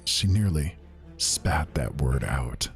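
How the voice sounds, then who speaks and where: A man narrating a horror story with slow, dramatic intensity. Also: very deep voice, expressive, gravelly, soft background music.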